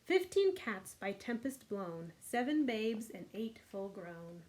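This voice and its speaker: A woman's voice: medium pitch, slow, a little hiss or hum. A woman hosting a children's storytime, reading a picture book expressively with casual asides. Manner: expressive, voices the characters' lines.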